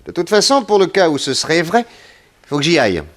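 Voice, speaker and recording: An actor playing a self-assured, polite and inquisitive private detective in a 1960s French radio drama. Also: male voice, a little high, very animated, a little fast, a bit bright. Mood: amused, brisk.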